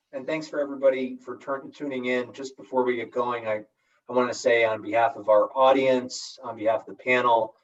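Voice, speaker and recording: A man moderating a live-streamed panel over a video call, earnest and thankful, with slight stumbles. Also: medium pitch. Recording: distant, dull video-call audio.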